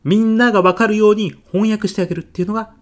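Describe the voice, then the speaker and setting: A man's voice: high-pitched, fairly even intonation, rapid-fire, close to an everyday voice. A man playing a polite, talkative, self-important dad in a comedic audio drama. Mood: earnest, proud. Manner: polite, talkative, matter-of-factly proud. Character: earnest, self-important stay-at-home-working dad, celebrity gossip writer.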